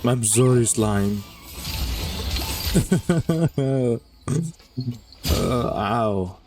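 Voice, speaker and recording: Streamer chatting over gameplay, super lively pitch, unhurried, gushy and playful, game music behind. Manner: playful, gushing, easily flustered, chats to characters. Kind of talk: casual gameplay commentary.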